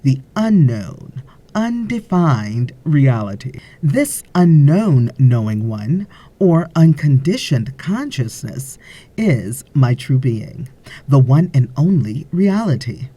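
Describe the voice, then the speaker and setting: A woman reading a spiritual audiobook as scripted narration, in a measured, deliberate way with frequent pauses. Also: a bass voice, big pitch swings, dark tone, husky.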